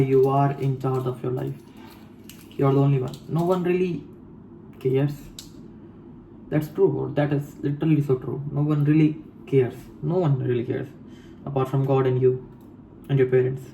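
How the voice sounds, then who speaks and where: A man's voice: mid-range voice, vocal fry, warm tone, slight background noise, poor audio. A man in his late teens talking casually in a reflective monologue, mixing Hindi and English, repeating phrases and calling the listener bro. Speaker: vlogger. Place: home room.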